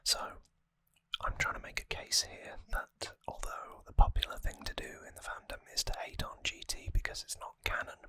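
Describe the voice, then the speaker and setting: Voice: moderate pace, bright, forceful tone. An ASMR host whispering close to the microphone in a musing, conversational commentary style. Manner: musing, conversational trivia talk. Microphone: close microphone, dry sound.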